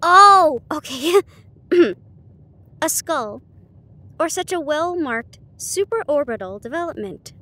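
High-pitched woman reading aloud. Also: expressive, bright, forceful tone. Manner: halting, stumbles over difficult words, giggly. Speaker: audio roleplay performer reading a novel aloud. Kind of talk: reading classic detective fiction aloud, casual intimate asides. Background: ambient sound effects.

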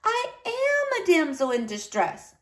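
A woman's voice: extremely high pitch, wildly swooping pitch, unhurried, echoey room, pitched above the performer's own voice, exaggerated voice. A woman voicing a small, earnest little niece who speaks plaintively in a children's storybook. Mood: matter-of-fact. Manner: plaintive, pleading, simple delivery.

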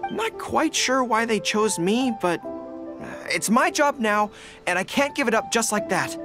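An actor playing an earnest, easily flustered young dog-eared general in a video game. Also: male voice, high voice. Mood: earnest, explanatory. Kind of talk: acted video game dialogue. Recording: music behind the voice.

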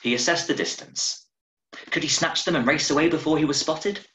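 A man in his thirties reading a short story aloud in a measured, dramatic way, recorded at home over a video call. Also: fairly high pitch, bright, forceful tone. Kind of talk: expressive audiobook-style story narration. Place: small room at home, indoors. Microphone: video call from a desk, wearing earphones. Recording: roomy, echoing home webcam recording.

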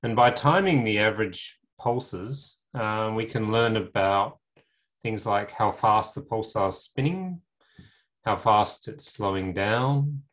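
A middle-aged man lecturing in an informal technical tutorial over a video call, explaining things step by step with pauses. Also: lowish voice, fairly bright tone. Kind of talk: informal technical tutorial narrating slides and screen demo.

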